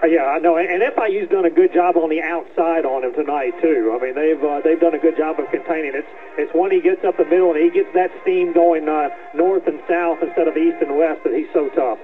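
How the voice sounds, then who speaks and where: A man giving measured, conversational game analysis as a football sideline reporter on radio. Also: fairly high pitch, steady tone, phone-quality sound, soft background music. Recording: band-limited radio broadcast sound.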